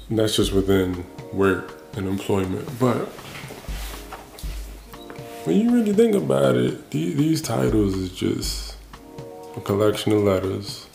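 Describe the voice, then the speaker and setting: A man's voice: low-pitched, creaky, darkish tone, roomy sound. A man hosting a solo podcast, musing casually and restarting his thoughts as he goes. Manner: casual, thinking aloud with frequent restarts. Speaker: podcast host. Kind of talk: reflective solo monologue.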